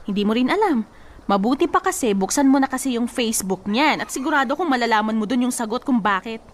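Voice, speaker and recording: An actress playing a blunt, bossy female cousin in a radio drama, teasing and scolding with a sharp tongue. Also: fairly high pitch, animated, fast talker, natural voice.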